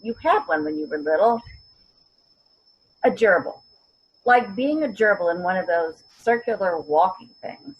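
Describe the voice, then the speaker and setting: A woman's voice: mid-range voice, expressive, measured pace, pauses often, slightly rough, lo-fi sound. A woman acting a stubborn, principled, wry elderly mother in a readers theater drama, often searching for words and then stressing them. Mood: sardonic. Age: older. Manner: word-searching, emphatic, wry.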